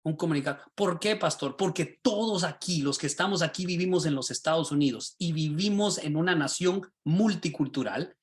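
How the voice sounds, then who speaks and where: A man, a pastor and teacher, teaching a class over a video call in a lively, sermon-like way, often asking his listeners rhetorical questions. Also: a little high, moderate pace, few pauses. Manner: animated, rhetorical questions, asks the class directly.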